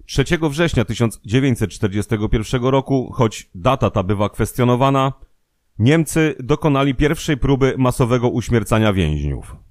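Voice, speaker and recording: A man reading scripted documentary narration in a measured, formal manner. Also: mid-range voice, animated, no room sound, studio quality.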